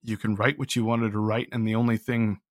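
A man's voice: fairly low pitch, level tone, brisk, no echo. Earnest man in reflective interview, dry sound. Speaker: interview guest, audio drama writer. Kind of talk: reflective, casual interview talk.

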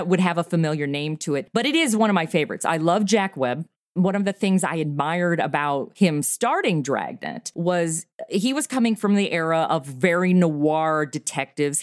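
A woman talking as an interview guest about her podcast, animated and quick to laugh. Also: sits low, dry recording, clean studio sound. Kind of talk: casual interview.

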